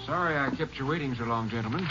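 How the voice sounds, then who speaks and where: An actor in an old-time radio drama playing a composed psychiatrist with a measured, clinical, reassuring manner. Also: male voice, mid-range voice, loud music behind the voice, lightly acted. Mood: calm, reassuring. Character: composed, professional psychiatrist speaking with authority. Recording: muffled vintage radio recording, faint noise.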